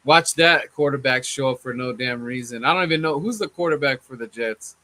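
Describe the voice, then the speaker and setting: A man hosting a casual sports fan chat, his voice a little hoarse from chanting, laid-back and often saying "man". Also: medium pitch, fairly quick, sharp tone. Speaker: fan channel host.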